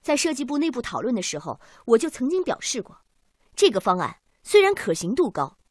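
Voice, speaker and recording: An actress playing a frank engineer in a radio drama, giving a critical opinion in a meeting. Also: female voice, fairly high pitch, wildly swooping pitch, fairly quick, darkish tone, slightly rough, dry recording, clean studio sound, exaggerated voice.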